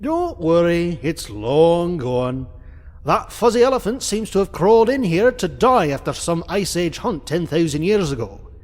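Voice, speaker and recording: A man's voice: high-pitched, sing-song, measured pace, darkish tone, dry recording. A man voicing a tough, proud young cartoon duck prospector in a fan comic dub, blunt and boastful. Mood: blunt, teasing.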